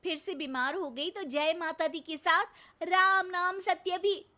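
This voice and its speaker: A patient young daughter-in-law in a cartoon. Her voice sits high and bright, polite yet exasperated, with a slow measured pace. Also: fairly even intonation, full character voice. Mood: teasing. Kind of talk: cartoon voice acting.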